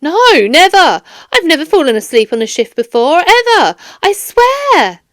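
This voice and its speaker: A woman's voice: extremely high pitch, sing-song, a little slow, fairly bright tone, no echo, studio quality, voice pushed up, exaggerated voice. A woman voicing a flustered, defensive male security guard in a children's audiobook, pleading and protesting emphatically. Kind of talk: children's audiobook character dialogue.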